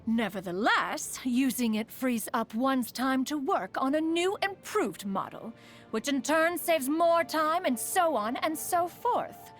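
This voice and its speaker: A woman's voice: high-pitched, lively intonation, slow pace, soft background music. A woman playing a proud, aloof immortal adept who secretly cares, speaking in formal, archaic phrases as a video game character. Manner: haughty, archaic formal speech, refers to self as "one".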